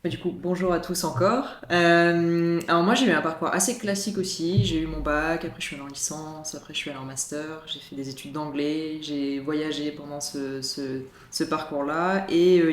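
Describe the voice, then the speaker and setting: A woman's voice: deep voice, steady tone, a little fast, hardly any pauses. A woman, a guest in a casual round-table chat, telling her story in an easygoing way. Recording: echoey room.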